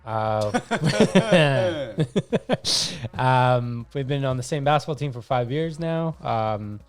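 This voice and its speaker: A man's voice: medium pitch, takes it slowly, crowd noise. Easygoing joking man in his thirties. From Argentina. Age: 30s. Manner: relaxed, joking, rambling with fillers. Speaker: podcast guest. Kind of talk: casual podcast chat.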